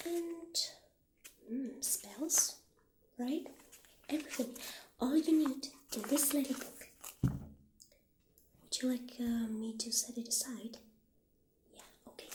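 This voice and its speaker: A woman plays a humble, friendly witch shopkeeper in an ASMR roleplay. Her voice is fairly high, breathy and half-whispered, and she takes her time with gentle, halting phrases. The recording is roomy and echoing, with noticeable background noise. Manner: gentle, hesitant, halting delivery. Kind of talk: soft-spoken ASMR roleplay.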